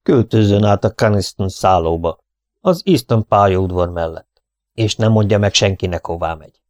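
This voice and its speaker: A man voicing a calm, precise foreign detective, speaking in a measured, soothing way. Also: fairly low pitch, no echo, natural voice.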